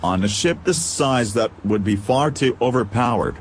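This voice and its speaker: A man playing a dry, literal-minded droid with precise, formal and deadpan delivery in an audio drama. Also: medium pitch, moderate pace, a little hiss or hum, lightly acted. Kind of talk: scripted audio drama acting.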